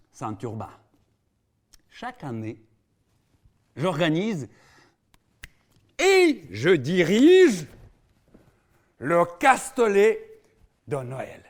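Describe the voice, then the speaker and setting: A man playing a halting puppeteer who introduces himself in a theatrical stage monologue, drawing out his words. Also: a little high. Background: plucked guitar music.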